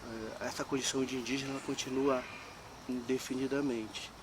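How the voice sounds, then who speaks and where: A man's voice: medium pitch, flat delivery, brisk, a little hiss or hum, poor audio. A Brazilian man interviewing, speaking formally and at length in legal terms. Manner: formal, long-winded, legalistic phrasing. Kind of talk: interview questions.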